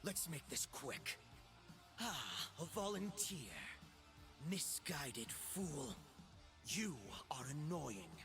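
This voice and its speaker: A man's voice: fairly high pitch, very animated, very slow, full character voice. A man voicing a cocky, power-hungry young villain in a video game, smug, dramatic and teasing. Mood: smug, cool. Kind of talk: video game character voice lines. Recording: game music behind the voice.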